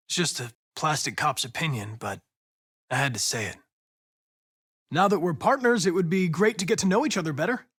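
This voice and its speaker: A young man acting as a polite, analytical android police investigator in a video game, speaking in a precise, formal, measured way. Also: on the high side, fairly bright tone, breathy edge, exaggerated voice. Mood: gentle, sincere, then polite, focused. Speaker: android detective.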